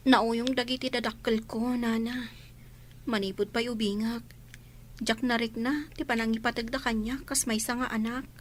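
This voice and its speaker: A woman's voice: on the high side, fairly even intonation, moderate pace, lightly acted. A woman playing a meek, innocent, mistreated girl, plaintive and polite in a radio drama. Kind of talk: Ilocano radio drama acting.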